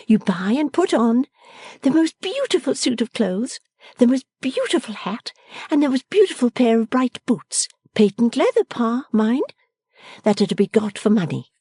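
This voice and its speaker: A woman's voice: on the high side, big pitch swings, moderate pace, warm tone, breathy edge, no room sound, studio quality, voice pushed up, full character voice. A woman reading the part of a playful, affectionate young woman who teases and orders her father about. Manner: teasing, commanding, merry.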